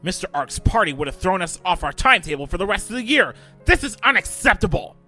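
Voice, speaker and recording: A man's voice: sits high, very animated, quick pace, pushed and bright, soft background music, heavily acted. A man playing a cold, short-tempered middle-aged fashion-mogul father in a fan audio drama, with a stern, imperious delivery. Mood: furious.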